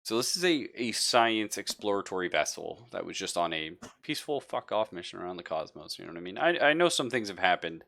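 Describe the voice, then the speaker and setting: A man narrating a tabletop game casually as game master, thinking aloud in an informal, halting way. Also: low voice, big pitch swings, gravelly, bright, forceful tone.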